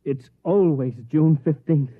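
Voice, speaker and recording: Jittery, paranoid American conspirator, rough-edged voice, thin vintage radio sound. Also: male voice, on the high side, measured pace. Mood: earnest, conspiratorial. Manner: hesitant, secretive, earnest. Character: nervous, furtive, paranoid man whispering of conspiracy. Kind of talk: old-time radio drama acting. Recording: tinny, band-limited vintage radio recording.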